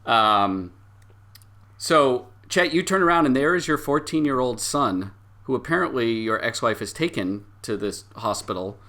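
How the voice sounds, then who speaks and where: Man, tabletop RPG narrator, bright forceful voice, thinking aloud, medium pitch. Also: expressive, unhurried. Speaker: game master. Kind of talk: improvised narration of a tabletop role-playing game.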